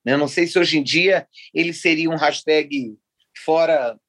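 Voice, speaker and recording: A woman's voice: low voice, a little slow. A woman in her sixties from Rio de Janeiro, a writer chatting as a guest in an interview, talking in long digressions and laughing often. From Rio de Janeiro, Brazil. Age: 60s. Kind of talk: casual interview chat.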